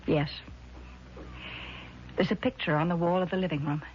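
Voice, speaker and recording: A woman's voice: on the low side, steady tone, lightly acted. Actress as a worried, hedging woman, muffled old radio. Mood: anxious. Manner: hesitant, hedging, measured. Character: worried, evasive sister with designs on an estate. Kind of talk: 1970s radio drama acting. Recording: dull, noisy vintage radio recording.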